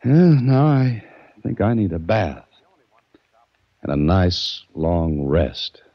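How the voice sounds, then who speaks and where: A man's voice: deep voice, animated, slow, heavily acted. An actor playing a wry, easygoing male adventurer who chuckles often, in a 1940s radio drama. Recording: dull old radio recording.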